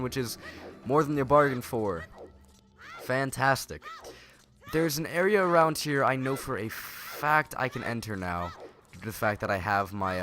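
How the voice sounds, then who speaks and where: A man's voice: mid-range voice, fairly bright tone. A young man with a nasal voice from a starting cold, rambling through casual, sarcastic gameplay commentary as a let's play host. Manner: rambling and sarcastic, self-correcting.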